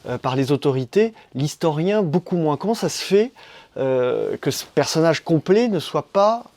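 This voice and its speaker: A man with a fairly high voice posing long, prepared questions to his guests. He speaks in a measured, deliberate way, recorded in a dry, close sound. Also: very animated, darkish tone, no echo. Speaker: interviewer.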